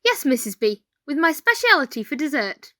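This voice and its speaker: An eager young housemaid , played by a woman, answers in a high, bright, lively voice. She is deferential in this amateur radio play. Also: moderate pace, exaggerated voice.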